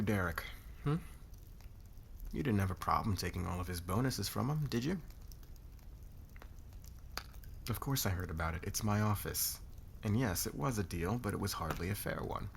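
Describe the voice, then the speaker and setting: A man playing a demanding, calculating corporate boss in a scripted ASMR roleplay, with a sardonic, deliberate, commanding manner. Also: lowish voice, creaky, slightly rough, exaggerated voice. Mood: smug, accusing.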